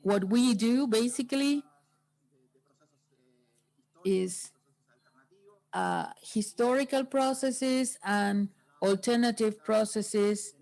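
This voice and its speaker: A woman interpreting a workshop into English, pausing often between phrases. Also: on the high side, darkish tone, rough recording.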